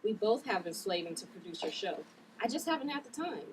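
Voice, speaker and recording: Lively, exasperated big sister played by a woman. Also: medium pitch, a little fast, far from the mic, natural voice. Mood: candid, slightly weary. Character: caring, exasperated older sister and TV producer. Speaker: actor at a table read. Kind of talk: script table read, family drama. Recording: noisy room, off the mic.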